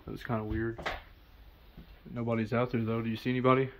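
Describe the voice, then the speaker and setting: Flat-voiced young man exploring an empty house. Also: mid-range voice, fast talker, highs cut off, slight background noise. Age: 20s. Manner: talks to viewers while exploring, points out objects in plain remarks. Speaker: urban explorer vlogger. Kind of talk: casual walkthrough narration. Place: indoors in an empty abandoned house. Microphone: first-person body or head camera.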